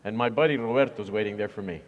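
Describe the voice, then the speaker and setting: A man's voice: fairly low pitch, fast, muffled recording, slight background noise. A man who is a church minister, talking earnestly and reflectively in an interview, with frequent fillers. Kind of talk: conversational interview.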